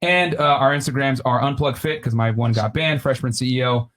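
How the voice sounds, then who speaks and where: A man co-hosting a podcast, reading announcements and viewer messages in a quick, bantering, promotional way. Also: mid-range voice, fairly bright tone. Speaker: podcast co-host. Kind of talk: casual podcast chat, reading super chats. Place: studio in Miami.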